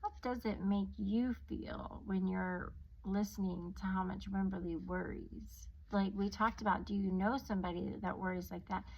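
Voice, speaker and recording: A woman reading a children's story aloud like a teacher, expressively drawing out words and adding hushed, chatty asides. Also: mid-range voice, steady tone, moderate pace, creaky, few pauses, husky, muffled recording. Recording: noticeable background noise.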